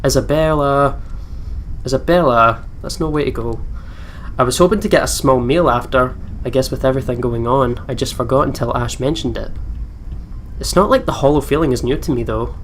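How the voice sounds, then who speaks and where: A man's voice: medium pitch, a little fast, a bit bright. A man hosting a let's play, reading story text aloud and breaking in with reactions and asides. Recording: clear background noise.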